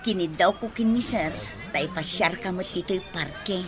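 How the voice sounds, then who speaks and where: A woman's voice: fairly low pitch, measured pace, natural voice. An actress playing a gossipy young woman who chats and teases.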